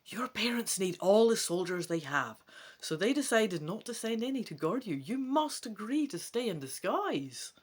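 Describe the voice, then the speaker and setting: A man's voice: sits high, sing-song, moderate pace, voice pushed up. A man voicing a stern, ancient female elf tutor who admonishes her pupil in a dramatic gamebook reading. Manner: sharp, hushed, admonishing. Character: stern two-hundred-year-old female elf tutor and bodyguard.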